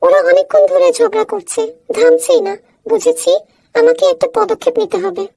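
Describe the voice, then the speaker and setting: A woman's voice: extremely high pitch, normal speed, gravelly, mellow, no room sound. A woman voicing a small animal referee who gives orderly instructions in a children's cartoon. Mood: deciding. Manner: instructing, announcing.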